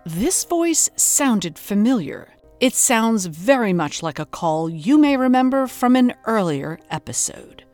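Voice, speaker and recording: A woman's voice: on the high side, sing-song, a little slow, hardly any pauses, a little mellow, soft background music. An actress playing a wry, incredulous woman hosting a scripted investigative documentary podcast, with a dramatic storytelling manner. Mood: wry, intrigued. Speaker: host.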